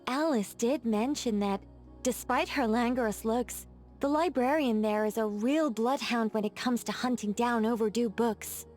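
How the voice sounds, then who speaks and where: A woman's voice: fairly high pitch, normal speed, a little mellow, faint music behind, close to an everyday voice. An actress voicing a poised, playful female mage in a game, talking in a wry, teasing, chatty way. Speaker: game character. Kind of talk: game voice lines.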